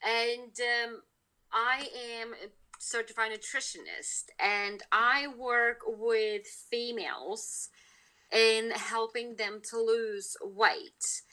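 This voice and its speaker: A woman with a Lithuanian accent and a very bright, forceful voice, pitched a little high. She talks casually as a podcast guest, full of fillers and restarts. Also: steady tone, slow pace, rough recording. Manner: chatty, many fillers and restarts. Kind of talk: casual interview talk.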